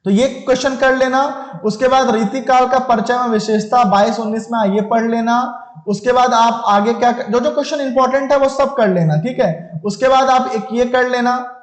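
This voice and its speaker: A man's voice: very high voice, fairly even intonation, normal speed. A man teaches an informal online exam lesson, conversational and instructive, repeating phrases for emphasis. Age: 20s. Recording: echoey room.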